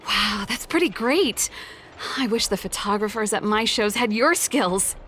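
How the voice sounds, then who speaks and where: A woman's voice: medium pitch, animated, measured pace, a bit bright, a little hiss or hum. A woman voicing a cool, friendly young rock musician in a video game, breezy and teasing.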